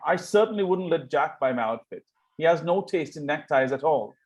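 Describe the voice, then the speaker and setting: A man reading a flippant, charming young gentleman in a Victorian comedy table read, playful with formal phrasing. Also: on the high side, animated, brisk, close to an everyday voice. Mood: indignant.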